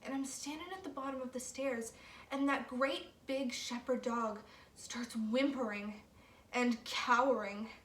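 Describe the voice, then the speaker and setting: A woman acting an uneasy young woman recalling her childhood in a naturalistic stage monologue, with hushed, conversational storytelling. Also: on the high side, steady tone, unhurried. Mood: haunted, hushed. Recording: roomy, distant mic, faint background noise.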